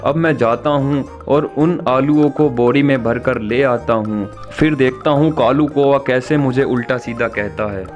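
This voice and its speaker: A man voicing a good-natured, grateful big-brother bird, earnest and exclamatory, in an animated children's story. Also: medium pitch, a little slow, hardly any pauses, dull audio, faint music behind, close to an everyday voice. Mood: grateful, determined.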